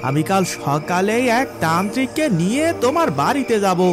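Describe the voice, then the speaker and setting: A man voicing a startled but helpful village neighbour in an animated horror story, alarmed and concerned. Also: sits high, a little fast, over music.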